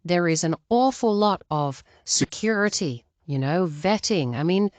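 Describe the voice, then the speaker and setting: Low-voiced woman giving political commentary. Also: expressive, measured pace, darkish tone, dry recording. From outside the United States. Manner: earnest, emphatic, partisan. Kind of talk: opinionated political commentary to viewers.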